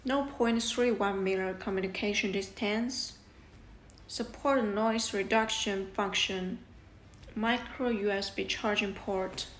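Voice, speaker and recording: A woman's voice: medium pitch, steady tone, a little slow, fairly bright tone, a little hiss or hum. A woman presenting a product review as scripted narration, explaining things step by step in an instructional way.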